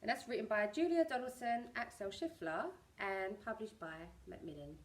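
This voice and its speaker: A woman storyteller reading a rhyming picture book aloud to young children in an expressive way. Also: mid-range voice, normal speed, distant mic.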